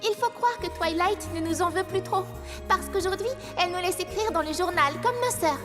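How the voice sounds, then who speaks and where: A woman voicing eager little pony schoolchildren in a French cartoon dub, with a childlike, expressive delivery. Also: very high-pitched, moderate pace, music in the background. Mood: reflective.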